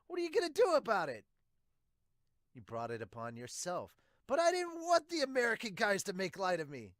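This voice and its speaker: Brash teenage ballplayer acted by a man, raised high voice, exclamatory and excitable, manga dub, dry recording. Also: brisk, no echo, full character voice. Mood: indignant. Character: excitable, brash teenage baseball player, loud and indignant.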